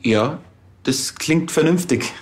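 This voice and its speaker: A man's voice: on the high side, slow pace. Agreeable, naive policeman. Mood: eager to agree. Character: compliant, naive male junior police officer. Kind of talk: TV crime comedy dialogue.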